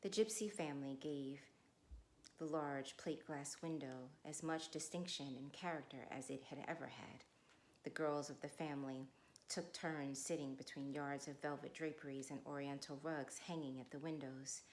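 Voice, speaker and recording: A middle-aged woman speaking with measured, deliberate phrasing, as a guest reader at a literary read-along. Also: sits low, steady tone, a little hiss or hum, rough recording. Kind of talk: informal introduction, then literary read-aloud.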